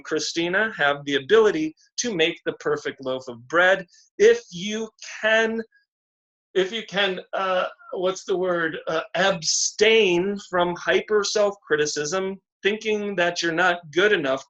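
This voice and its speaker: A man giving a slow, deliberate, encouraging astrology reading on a video call. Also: fairly high pitch, fairly bright tone. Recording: dull lo-fi video call audio.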